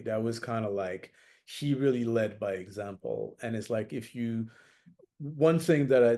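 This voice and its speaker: A middle-aged man from France speaks as a guest in an interview, in a low, warm voice. He thinks aloud, circling back with fillers and restarts. His manner is reflective and unhurried. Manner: thoughtful, many fillers and restarts. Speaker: jazz saxophonist guest.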